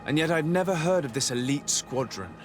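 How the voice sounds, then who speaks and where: An actor playing a polite, inquisitive diplomat in a video game, with a formal and composed manner. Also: male voice, a little high, animated, fairly quick, over music, natural voice. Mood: probing. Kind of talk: video game dialogue acting.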